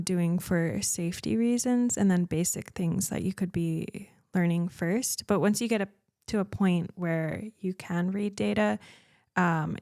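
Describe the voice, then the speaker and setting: A young American woman, a racing driver, chatting as a podcast guest and pausing often to search for words. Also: fairly low pitch, a little mellow, no echo, studio quality. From United States. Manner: hesitant, many fillers, searching for words. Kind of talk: casual interview chat.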